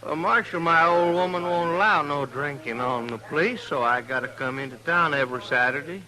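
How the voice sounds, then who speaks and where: A man's voice: a little high, moderate pace, bright, forceful tone, low-quality recording. An actor playing a cranky, hard-drinking backwoods man with an Ozark hillbilly accent in a 1950s radio Western. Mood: grumbling, wry. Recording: old radio broadcast, background chatter.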